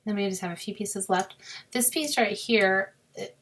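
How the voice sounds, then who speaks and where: A woman with a sore, scratchy throat, explaining a crafting software task step by step in a chatty way and muttering to herself as she clicks. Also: mid-range voice, normal speed. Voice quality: raspy with creaky fry. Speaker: crafting tutorial host. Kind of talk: screen-recorded software walkthrough.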